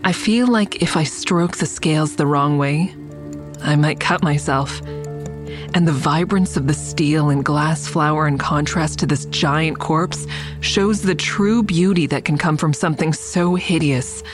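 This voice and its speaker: An actress playing a reflective, tender woman who narrates an audio drama in measured, descriptive first person. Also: low-pitched, faint music behind. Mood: admiring, awed. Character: reflective woman admiring a friend's mural, tender. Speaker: narrator and lead character.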